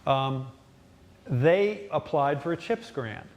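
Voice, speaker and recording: Halting man explaining at a town hall. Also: on the high side, expressive, darkish tone. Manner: explanatory, halting with many fillers and restarts. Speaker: city official at a ward town hall. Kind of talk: public town hall answers. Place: town hall meeting room.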